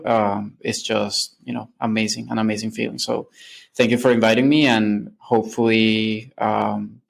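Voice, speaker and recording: A man's voice: on the low side, vocal fry. A man, a Spanish speaker, answering casually and reflectively as a podcast guest. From Venezuela. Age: late 20s.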